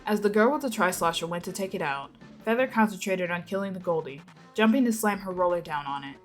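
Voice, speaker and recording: A woman narrates a story in a dramatic reading style. Her voice sits a little low, and music plays along behind her. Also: fast talker. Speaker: narrator of a fan fiction reading.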